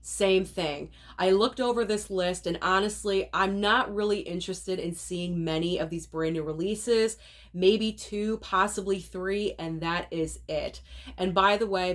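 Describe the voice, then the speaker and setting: A woman with a cold and a nasal voice, chatting through a casual rundown of upcoming movies for her channel viewers. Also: mid-range voice. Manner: chatty, opinionated, direct to viewers. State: sick, nasal voice.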